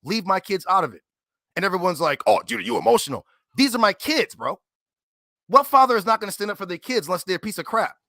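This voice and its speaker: A man's voice: high voice, animated, fast talker, gravelly, sharp tone, dry recording, clean studio sound. A man from Cleveland retelling a debate, indignant and stammering with many fillers. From Cleveland, USA. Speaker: debater and guest. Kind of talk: casual chat retelling a debate.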